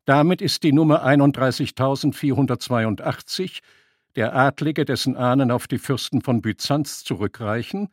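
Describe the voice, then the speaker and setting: A man's voice: medium pitch, no room sound, clean studio sound. A man reading scripted literary narration as a sober storyteller.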